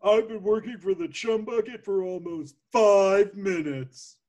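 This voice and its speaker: A man's voice: sits high, darkish tone. A man voicing a dim, proud and gullible cartoon starfish in a naive way. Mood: proud, childish. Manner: slow, naive. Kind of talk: cartoon script voice acting.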